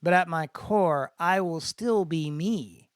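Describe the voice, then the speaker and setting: A man's voice: on the high side, slow pace, clear, resonant tone, dry recording, studio quality. A man voicing an eager, idealistic younger brother in a dramatic audiobook, explaining things in an earnest, casual way. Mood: resolute, earnest. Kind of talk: dramatic audiobook character dialogue.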